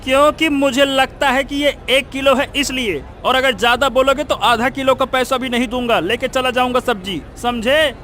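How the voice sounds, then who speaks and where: A man's voice: very high-pitched, steady tone, normal speed, hardly any pauses, bright, forceful tone, a little hiss or hum. A man playing a scheming, spiteful village cheat in a cartoon, argumentative, blustering and insistent. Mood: belligerent. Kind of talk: Hindi cartoon moral story acting.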